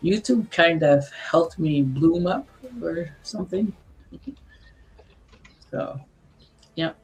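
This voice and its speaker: Slow husky woman, smoker's voice. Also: low-pitched, darkish tone, low-quality recording. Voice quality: husky, says she has a smoker's voice. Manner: slow, laughing, halting. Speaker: guest. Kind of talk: casual chat.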